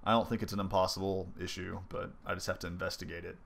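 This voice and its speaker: A young man with a somewhat low voice, stuffy and congested as if from a cold. He talks casually and loosely, thinking aloud with frequent ums and likes as he narrates his own gameplay footage. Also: level tone, quick pace, vocal fry. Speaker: indie game developer. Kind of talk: casual devlog commentary over gameplay.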